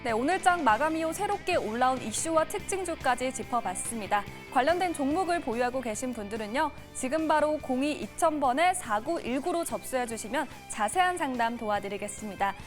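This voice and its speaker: A woman's voice: high-pitched, lively intonation, fairly quick, hardly any pauses. A woman presenting a scripted stock market news report in a polished, formal broadcast delivery. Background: music bed.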